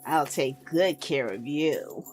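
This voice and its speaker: A woman voicing a creepy man who speaks slowly with a low, oily sweetness. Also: darkish tone, soft background music. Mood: leering. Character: creepy man buying a young girl.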